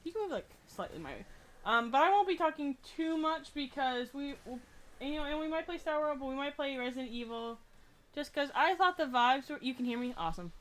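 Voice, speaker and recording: A young woman streaming a game with a friend, chatting casually in a loud, projecting voice. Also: sits high, lively intonation, brisk. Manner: chatty, showing off plushies. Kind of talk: casual chat.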